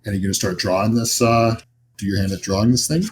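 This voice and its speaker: A man's voice: fairly low pitch, steady tone, fast talker, slight background noise. A man narrating as game master of a tabletop horror roleplaying game, describing scenes in a conversational, improvised way. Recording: music behind the voice. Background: music.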